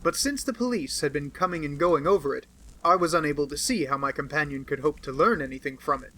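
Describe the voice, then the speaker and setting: A man reading a classic detective novel aloud as a narrator, with measured storytelling. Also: a little high, pushed and bright. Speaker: audiobook reader.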